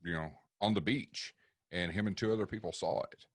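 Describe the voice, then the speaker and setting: A man's voice: low-pitched, a little fast, no room sound, clean studio sound. A man, a guest on a podcast, telling a story in casual chat with laughs and swearing. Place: podcast studio around a table. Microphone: studio mic.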